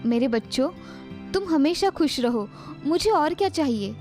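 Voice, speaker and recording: A woman playing a gentle, forgiving elderly mother-in-law in a cartoon story, tender and self-effacing. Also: high voice, animated, unhurried, soft background music.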